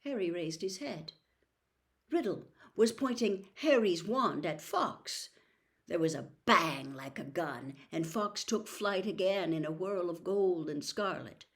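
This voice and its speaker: A woman reading a storybook aloud as narrator, expressive and suspenseful, with dramatic pauses. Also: fairly low pitch. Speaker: audiobook reader, host.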